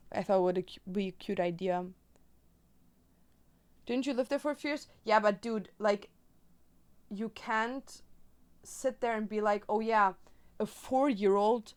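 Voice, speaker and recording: Chatty young woman, smooth voice. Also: medium pitch. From Germany. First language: Spanish. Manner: chatty, rambling, lots of fillers. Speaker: streamer. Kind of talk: casual chat while crafting.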